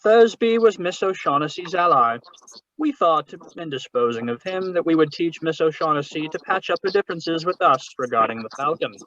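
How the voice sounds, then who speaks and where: An actor playing a genteel, scheming older crime boss in a 1940s radio drama, speaking in a florid, courtly manner. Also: male voice, a little high, expressive, moderate pace, fairly bright tone. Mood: smooth explaining. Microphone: video call. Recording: muffled, noisy video-call audio.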